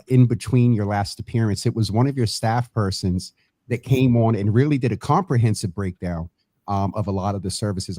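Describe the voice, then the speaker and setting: Male host, dark mellow voice, dry close sound, rambling questions. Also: fairly low pitch, no echo. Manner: warm-up host, long winding questions with fillers. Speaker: podcast host. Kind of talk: interview hosting. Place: studio room.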